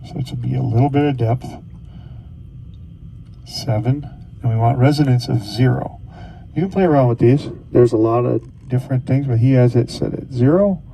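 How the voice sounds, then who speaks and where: A man's voice: mid-range voice, normal speed, gravelly, warm tone, a little hiss or hum, low-quality recording. A man casually talking through a device setup step by step as a tutorial host. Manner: casual, talks through each step as he goes.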